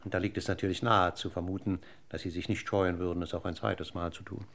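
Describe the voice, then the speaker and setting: An actor playing a courteous but relentlessly needling police commissioner in a radio crime drama, formal and deliberate. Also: male voice, sits low, level tone, fairly bright tone. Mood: politely accusing.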